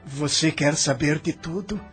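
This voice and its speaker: High-voiced, agitated ailing immigrant played by a man. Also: unhurried, a bit bright, husky, soft background music, exaggerated voice. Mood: bitter, puzzled. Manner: theatrical, impassioned, swinging from faint to outcry. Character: agitated ailing male immigrant, passionate and embittered. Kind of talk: audio drama acting.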